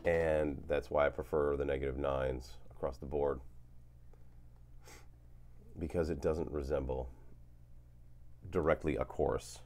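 Very deep-voiced man with a lingering cough. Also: measured pace, pauses often. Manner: relaxed, rambling, frequent fillers. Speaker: guitar channel host. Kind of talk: casual gear demo talk. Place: home studio with guitars on wall.

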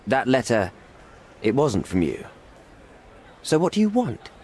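Casting: middle-aged diplomat in a video game, cornered and evasive, highly animated intonation, placating and formal. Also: male voice, a little slow. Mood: alarmed, placating. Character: cornered middle-aged British envoy, evasive, smooth-talking, pleading. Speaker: British envoy. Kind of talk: video game cutscene acting.

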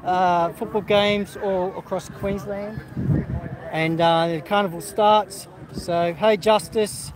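Australian guy, middle-aged, high voice, outdoor livestream, rambling and chatty, crowd behind him. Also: steady tone, slow pace, low-quality recording. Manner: rambling, full of fillers and restarts, greets viewers. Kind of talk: casual livestream chat. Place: outdoors at a football carnival.

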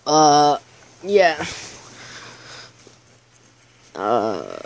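A man's voice: on the high side, huge pitch swings, very slow, creaky, low-quality recording. A teenage boy chatting casually over his gameplay video, rambling and hesitant, with many fillers. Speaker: gaming YouTuber.

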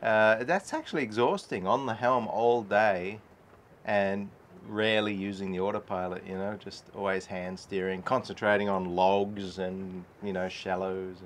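A man's voice: lowish voice, fairly even intonation, measured pace, a little hiss or hum. A middle-aged man chatting casually on his sailboat, rambling and exclaiming as he talks, recorded on a camera mic. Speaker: sailing vlogger. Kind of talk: casual vlog chat. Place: on a sailboat at night, then in the cabin.

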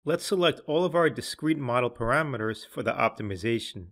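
A man reading scripted instructional narration in a measured, clearly enunciated, explanatory way. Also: medium pitch, lively intonation, a bit bright. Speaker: software tutorial narrator.

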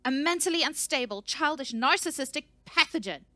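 A woman voicing a fiery, exasperated woman scientist in an audiobook, biting and shouting. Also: medium pitch, fast, no room sound, pitched above the performer's own voice, natural voice. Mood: furious.

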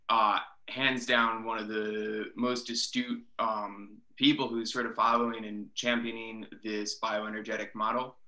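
A man in his thirties hosts a casual interview from a small home room, asking relaxed questions padded with fillers. His low voice is very bright and sharp, fairly flat in pitch, and the room gives it a noticeable echo. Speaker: podcast host. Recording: echoey room.

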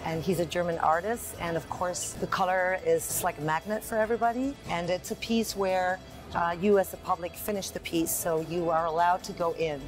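A woman's voice: lowish voice, steady tone, moderate pace, music in the background. A woman from a gallery explaining an artwork at an art fair in a chatty, informal way. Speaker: gallery representative. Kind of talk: casual on-site explaining.

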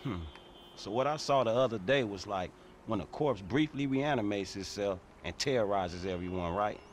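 Actor as lively, streetwise young hustler. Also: male voice, fairly low pitch, normal speed, slight background noise, natural voice. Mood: sceptical, laid-back. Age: young adult. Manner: slangy, profane. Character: streetwise young man, blunt, easygoing, sceptical hustler. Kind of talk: video game cutscene acting.

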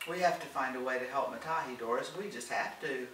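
A man reading the part of a relentless, warm-hearted older woman in a storybook, insistent and pleading. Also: mid-range voice, fairly quick, roomy sound, distant mic, a little hiss or hum, close to an everyday voice, rough recording. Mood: indignant, determined.

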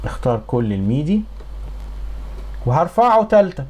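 A middle-aged man with an Egyptian accent giving a casual software tutorial as an audio engineering instructor in a small home studio. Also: a little high, big pitch swings, normal speed.

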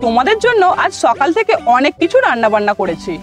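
A woman's voice: sits high, very animated, fast, loud music behind the voice. A woman voicing a homely, coaxing middle-aged mother-in-law in a comic cartoon.

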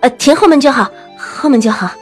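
A flustered young girl student from a period audio drama. She speaks in a high voice, polite and awkwardly eager, over faint music. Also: lively intonation, fairly quick. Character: bashful, flustered young female student in ancient China. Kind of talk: Chinese costume audio drama acting.

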